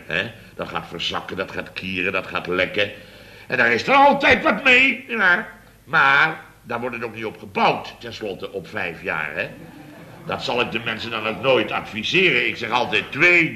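Muddled male boss, radio sitcom: bright, tense delivery, repetitive and blustery. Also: fairly low pitch, a little hiss or hum, heavily acted. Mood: chatty, blustering. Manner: rambling, repetitive, tags phrases with "hè". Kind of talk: 1970s Dutch radio comedy acting.

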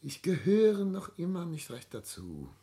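Older male melancholy, cultured widower in a radio drama; theatrical, deliberate, widely swinging intonation. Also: on the high side, wildly swooping pitch, creaky, warm tone, exaggerated voice. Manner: theatrical, deliberate, literary phrasing. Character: melancholy, cultured ageing widowed patriarch, brooding and expansive. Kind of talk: German radio play acting.

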